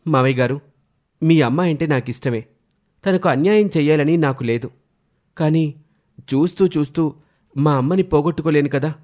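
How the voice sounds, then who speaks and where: A man acting a hesitant, meek young husband in a story, speaking haltingly. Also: a little high, darkish tone, natural voice. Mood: resigned.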